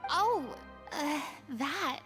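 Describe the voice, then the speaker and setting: High-voiced, flustered young stage star. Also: female voice, lively intonation, extremely slow, over loud music, full character voice. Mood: breezy, evasive. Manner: hesitant, self-conscious, dramatic. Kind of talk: anime game voice acting.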